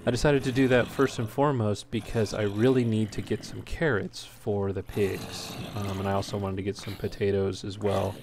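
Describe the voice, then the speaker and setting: A man's voice: fairly low pitch, level tone, moderate pace, hardly any pauses. A man hosting a gaming video with casual, unscripted walkthrough commentary, speaking a little hesitantly. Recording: noisy home recording, clear background noise.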